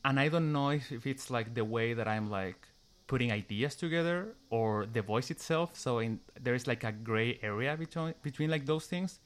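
A man's voice: mid-range voice, moderate pace. Chilean guy talking english in an interview, lots of "like", careful about each sound. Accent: Chilean Spanish. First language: Spanish. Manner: hesitant, many "like" fillers, careful pronunciation. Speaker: interviewee, musician. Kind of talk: casual interview answers and a read passage.

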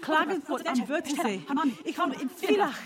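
Woman, very high voice, slow giggly list recital, crowd noise behind. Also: expressive. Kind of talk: reciting lists of town names.

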